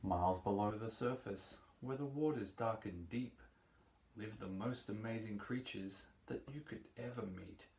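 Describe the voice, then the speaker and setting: A man's voice: fairly low pitch, a little mellow. Man reading children's rhymes, roomy echo. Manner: measured, playful storytelling for young children. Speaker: children's storybook reader. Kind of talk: expressive read-aloud of rhyming picture book. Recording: roomy echo, distant microphone.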